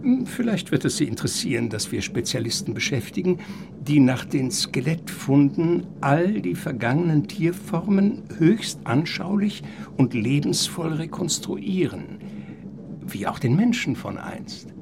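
A man's voice: fairly high pitch, big pitch swings, vocal fry, background noise, exaggerated voice. An actor playing an eccentric, courteous older professor in a German radio play, lecturing with rapture and savoring his words. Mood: musing, instructive. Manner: theatrical, savoring words, halting then surging. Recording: music behind the voice.

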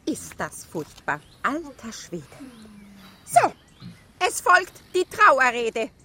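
A woman's voice: high voice, wildly swooping pitch, takes it slowly, bright, forceful tone, slightly rough, exaggerated voice. A woman playing a bossy, businesslike funeral organiser in a rhyming radio play. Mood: exasperated.

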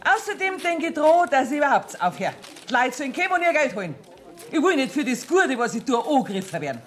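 A woman's voice: sits high, sing-song, fast talker. Bossy Bavarian woman banker. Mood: self-righteous, commanding. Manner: commanding, indignant. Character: domineering, self-righteous woman banker giving orders. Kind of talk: radio play acting in dialect. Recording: 1970s radio play, music behind.

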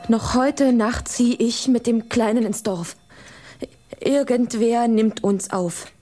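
A woman's voice: fairly high pitch, takes it slowly, warm tone. A woman playing a tender, protective, anxious fisherman's wife in a children's radio play, with a fretful, pleading delivery. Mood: worried, brooding.

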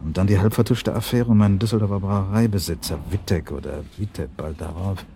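A man's voice: deep voice, fairly quick, creaky, dark tone, a little hiss or hum. An actor playing a probing investigator in a radio drama, formal and insistent, hesitating as he puts his questions. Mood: methodical. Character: persistent, probing male investigator questioning a witness. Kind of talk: scripted radio drama dialogue.

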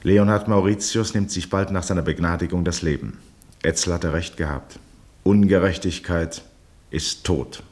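An actor as the grave, measured narrator of a 1960s German radio drama, reading literary prose. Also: male voice, low-pitched, fairly even intonation, darkish tone, close to an everyday voice.

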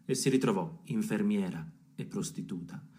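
A man performs a grave male storyteller in a radio drama. His voice is fairly low and mellow, and he speaks to the audience formally, with deliberate theatrical phrasing. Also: lightly acted. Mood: grave, apologetic. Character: grave male chorus-like teller of a legend. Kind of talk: scripted theatrical narration for a radio play.

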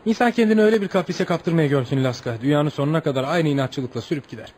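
An actor playing a polite, curious American traveller in a radio play, theatrical and musing, with amused asides to himself. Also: male voice, fairly high pitch, quick pace, darkish tone, a little hiss or hum, lightly acted. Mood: amused, explaining.

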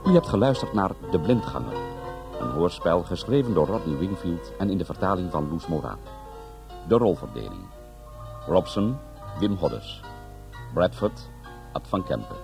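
A man's voice: low voice, sing-song, moderate pace, creaky, pauses often. A man announcing radio drama credits in a scripted style. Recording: music behind the announcement.

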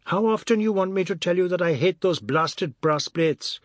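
A male narrator acts out a defiant, grumbling husband in a storybook reading. He has a Caribbean accent and a raised, husky voice. He sounds indignant and mocking, and the sound is close and dry. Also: a little high, expressive, unhurried, no echo. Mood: mocking irritation. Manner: indignant, mocking, muttering at times. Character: irritable, jocularly defiant husband, grumbling and provocative.

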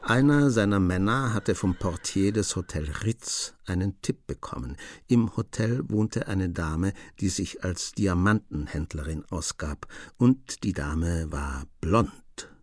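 Low-pitched male radio drama narrator. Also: lively intonation, slow, no echo, studio quality.

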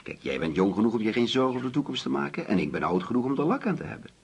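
An actor playing a genteel, anxious older husband in a radio play, talkative and patronising. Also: male voice, mid-range voice, very animated, racing, creaky, slightly rough, exaggerated voice. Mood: paternal, probing. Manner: fussy, insistent, patronising. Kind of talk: Dutch radio play acting.

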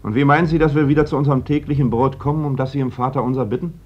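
An actor playing a bitter Republican worker in a radio play, probing with pointed, insistent irony. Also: male voice, medium pitch, fairly even intonation, racing, breathy edge, close to an everyday voice. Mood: ironic, pointed. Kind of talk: German radio play acting. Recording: dull, noisy old radio recording.

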